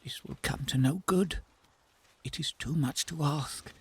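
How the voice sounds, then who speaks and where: A man's voice: a little high, measured pace, vocal fry, husky, full character voice. An actor playing a meek, reluctant, henpecked fisherman in a fairy tale audio drama, hesitant and pleading. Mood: weary, resigned.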